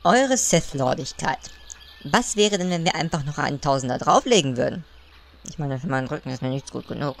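Woman as a low-voiced, fawning gnome advisor. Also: lively intonation, brisk, vocal fry. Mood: eager. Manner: obsequious, hesitant, pompous. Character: fawning, whiny male gnome advisor, puny and cheeky. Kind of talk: comic audio play acting.

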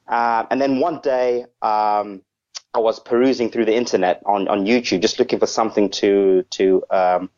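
A lower-voiced man from Zimbabwe answers interview questions over a dull, lo-fi remote line. He speaks casually and hesitantly, filling pauses with ums and restarting his sentences. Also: fairly even intonation, a little mellow. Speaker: interview guest.

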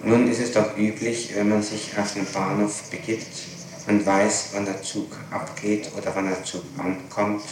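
A man with a fairly low voice conducts a formal, insistent courtroom interrogation. He works methodically through his questions. The archival tape is heavily reverberant and clearly noisy, with him far from the microphone. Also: level tone, a little slow. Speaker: public prosecutor. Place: large echoing room, distant mic.

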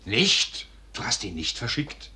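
An actor playing an agitated, loyal but reproachful officer with urgent stage delivery in a 1950s German radio play. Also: male voice, medium pitch, sing-song, unhurried. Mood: alarmed. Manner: urgent, theatrical. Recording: noisy 1950s radio drama recording.

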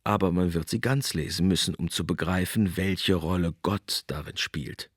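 A man's voice: sits low, normal speed, slightly rough, no room sound. A man giving essayistic commentary in a dry, explanatory way.

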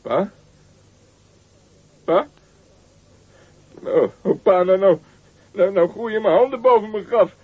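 A man's voice: sits high, lively intonation, takes it slowly, long pauses, breathy edge, dry recording, exaggerated voice. An actor playing a panicked young man who has killed his father, crying out in sobs in a radio play. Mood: frantic grief. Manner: sobbing, frantic cries. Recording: tinny lo-fi radio recording.

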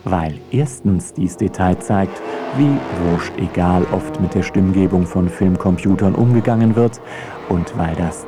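A low-pitched male narrator reads a scripted feature essay. His delivery is wry and dryly ironic, with music audible behind him.

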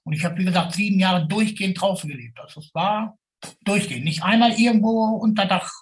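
A man's voice: high voice, a little fast. A man talking casually and colloquially about his own experience. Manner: colloquial, plain-spoken. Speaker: formerly homeless city tour guide. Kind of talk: casual spoken testimony.

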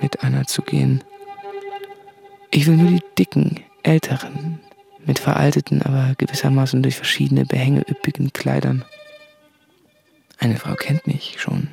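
An actor playing a brooding, tormented diarist in a radio play, confessing in an introspective, halting monologue. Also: male voice, mid-range voice, gravelly, darkish tone. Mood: furtive.

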